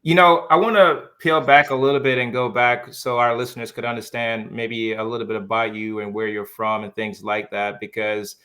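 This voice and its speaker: A man's voice: mid-range voice, flat delivery, moderate pace, hardly any pauses, pushed and bright. A man from Jamaica hosting a podcast, casually encouraging his guest and often saying "man". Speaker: podcast host. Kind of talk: podcast interview.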